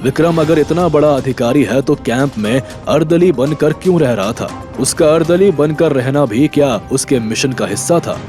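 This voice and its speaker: A man's voice: a little high, moderate pace, hardly any pauses, a little mellow, faint music behind. A man narrating a scripted audio drama in a dramatic storytelling style.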